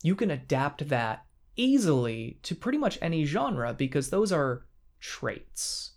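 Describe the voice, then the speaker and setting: A man co-hosting a casual podcast chat about tabletop games, enthusiastic, talking in quick bursts with playful asides. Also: fairly high pitch, sing-song.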